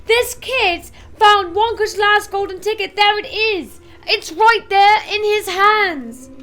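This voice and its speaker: Woman doing a fat, excitable shopkeeper man. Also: moderate pace. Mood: ecstatic. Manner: shouting excitedly, high and loud.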